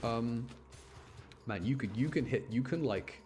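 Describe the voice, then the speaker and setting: A man's voice: medium pitch, a little slow, darkish tone, a little hiss or hum. A man giving casual live commentary as he streams a video game, rambling and often repeating himself.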